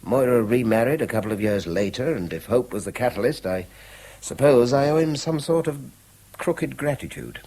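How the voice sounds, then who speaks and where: An actor playing a conscientious, wry middle-aged schoolteacher with an English accent and educated, hesitant phrasing in a 1970s radio drama. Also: male voice, mid-range voice, animated, moderate pace, darkish tone. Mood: wry reflection. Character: conscientious male schoolteacher, wry, guarded, hesitant but firm.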